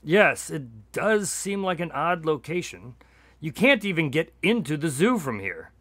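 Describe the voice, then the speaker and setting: Bright-voiced, long-suffering detective's sidekick. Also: male voice, a little high, big pitch swings, a little slow, no room sound, heavily acted. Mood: weary, puzzled. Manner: conversational, dry, long-suffering. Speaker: actor in a radio drama. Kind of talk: modern radio drama acting.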